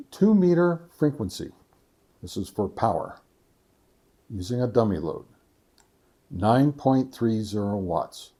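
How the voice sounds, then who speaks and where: Mellow, halting man reviewing gear. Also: fairly low pitch. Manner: halting, methodical, reads figures out deliberately. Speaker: video host, radio reviewer. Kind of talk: casual product test narration.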